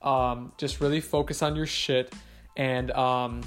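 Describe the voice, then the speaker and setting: A man's voice: mid-range voice, fairly even intonation, unhurried, soft background music. A young man hosting a podcast, delivering a blunt, profane motivational rant. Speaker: podcast host.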